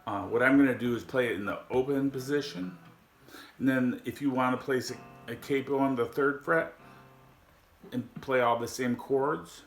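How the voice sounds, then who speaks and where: A man with a deep voice giving a casual guitar lesson, explaining slowly with frequent pauses and fillers. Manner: slow, halting explanations with many fillers. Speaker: guitar teacher. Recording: echoey room with guitar playing.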